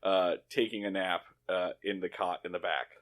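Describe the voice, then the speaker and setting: A man's voice: deep voice, steady tone, moderate pace, a bit bright. A man co-hosting a podcast, giving a casual, comedic, conversational recap and repeating words for emphasis. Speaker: podcast co-host.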